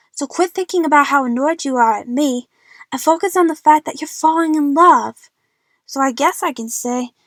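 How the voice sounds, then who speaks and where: A woman's voice: sits high, fairly bright tone, no echo. A woman playing a feisty, outspoken and impatient young fangirl in an amateur audio drama.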